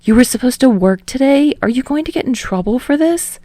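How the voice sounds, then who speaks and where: A woman voicing an easygoing, bashful young man with a soft, earnest manner in a story reading. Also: high voice, lively intonation, a little fast, dry recording, pitched above the performer's own voice. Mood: worried. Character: easygoing, gentle, bashful young male rock musician.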